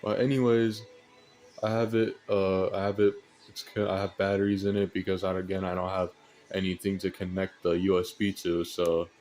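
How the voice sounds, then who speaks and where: Male hobbyist, American, fairly low-pitched, flat monotone delivery, casual and unhurried show-and-tell. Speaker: radio hobbyist presenter.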